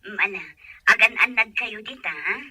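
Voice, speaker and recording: Anxious Filipino mother on a telephone, played by a woman; very sharp, husky tone, caring, audio drama. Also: mid-range voice, moderate pace, dry recording, clean studio sound. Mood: worried, tender. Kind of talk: amateur Ilocano audio drama acting.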